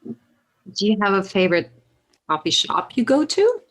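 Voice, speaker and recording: A woman hosting an interview, asking icebreaker questions in a halting way with frequent restarts. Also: mid-range voice, wildly swooping pitch. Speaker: host.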